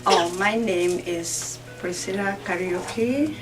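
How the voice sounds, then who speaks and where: A woman's voice: medium pitch, takes it slowly. A woman with a Kenyan accent gives a simple personal testimonial. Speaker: church member giving testimonial. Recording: distant mic, faint music.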